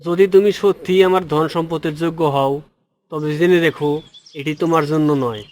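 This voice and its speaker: Man voicing a solemn noble king, highish voice. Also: fairly even intonation, normal speed, darkish tone, natural voice. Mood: solemn, warning. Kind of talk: cartoon fairy-tale dialogue and proclamation.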